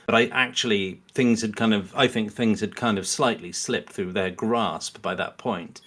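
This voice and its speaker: Low-pitched man thinking aloud. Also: normal speed, a bit bright. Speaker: podcast guest. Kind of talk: casual analytical discussion.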